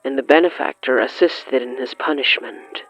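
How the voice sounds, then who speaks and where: A narrator reading scripted horror fiction in a measured, deliberate way, breaking sentences into short, suspenseful phrases. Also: medium pitch, fairly bright tone, muffled recording.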